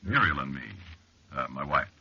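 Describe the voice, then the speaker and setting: A very low, hard-edged man's voice plays a wry, confiding researcher who refuses to be scared. He speaks conversationally and with dry understatement, in the manner of 1940s radio drama. The old recording is muffled. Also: expressive, normal speed, sharp tone, slightly rough, heavily acted. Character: male cliff-dwelling researcher, wry, confiding, stubbornly unafraid. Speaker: narrator and lead actor. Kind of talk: 1940s radio drama first-person narration.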